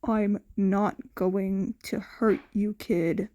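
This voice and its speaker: A woman voicing a gruff, weary man who is reluctantly kind, in a fan fiction audio story. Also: mid-range voice, little pitch movement, extremely slow, dark tone, dry recording, clean studio sound, voice pushed down. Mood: reassuring.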